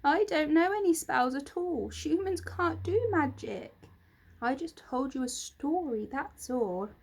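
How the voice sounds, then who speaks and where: Woman putting on a brave stubborn girl, storybook, high voice, firm. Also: expressive, measured pace, vocal fry, full character voice.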